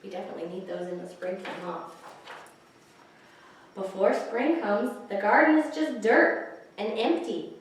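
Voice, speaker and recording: A woman reading picture books aloud to young children, pausing to explain the pictures and ask questions. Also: mid-range voice. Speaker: children's librarian, story time host. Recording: echoey, distant microphone, faint hiss.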